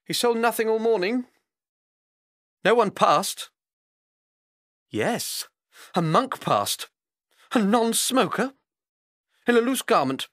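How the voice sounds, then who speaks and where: Man acting a high-strung indignant gentleman, theatrical outrage. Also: expressive, slow, long pauses, no echo, studio quality, full character voice. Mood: indignant, outraged. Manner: fussy, clipped, outraged. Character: indignant, haughty man obsessed with a suspicious match seller. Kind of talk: theatrical dramatic monologue.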